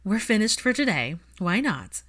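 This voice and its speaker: A woman voicing a practical, caring female therapist who gives brisk, firm instructions in a story. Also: medium pitch, very animated, fairly bright tone, pitched above the performer's own voice.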